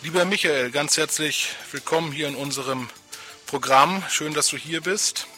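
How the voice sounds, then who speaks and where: Man, radio host, live interview delivery, very bright sharp voice, music behind, studio setting. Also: on the high side, normal speed.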